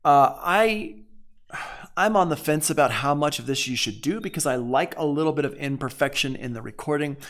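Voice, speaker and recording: A man's voice: on the high side, expressive, moderate pace, a bit bright. Conversational man teaching music production. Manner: conversational, explanatory, with emphatic repetition. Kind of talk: casual live software tutorial.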